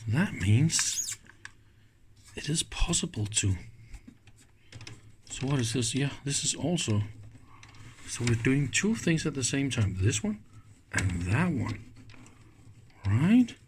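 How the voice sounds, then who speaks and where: Slow-paced man thinking aloud. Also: medium pitch, very animated. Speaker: host of an electronics teardown video. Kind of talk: casual hands-on commentary. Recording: clicks and faint background noise.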